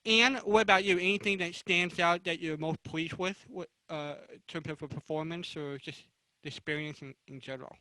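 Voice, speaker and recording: A man moderating a casual live panel interview, asking halting, rambling questions. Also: fairly high pitch, fairly even intonation, sharp tone, no room sound, low-quality recording.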